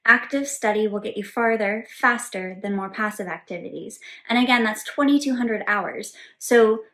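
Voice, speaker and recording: A woman in her thirties, the resident polyglot, answering viewer questions in a clear, explanatory way from a home office. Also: medium pitch, normal speed, bright, forceful tone. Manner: clear, explanatory, gestures with both hands. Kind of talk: scripted Q&A to camera. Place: home office with bookshelves and world map, indoors.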